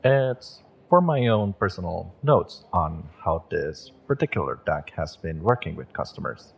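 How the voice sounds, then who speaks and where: Man playing a low, unhurried, gentle witch fortune teller. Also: very animated, vocal fry, breathy edge, exaggerated voice. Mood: warm, inviting. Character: kind, wistful male witch tarot reader and shopkeeper. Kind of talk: roleplay audio addressed to the listener.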